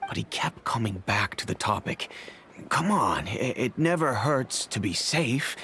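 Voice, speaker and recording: An actor playing a courteous, grave older father and head of a wealthy family in a video game, speaking in a measured, formal way. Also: mid-range voice, fairly bright tone, a little hiss or hum. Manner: measured, formal, solemn, sighing. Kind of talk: dramatic video game dialogue.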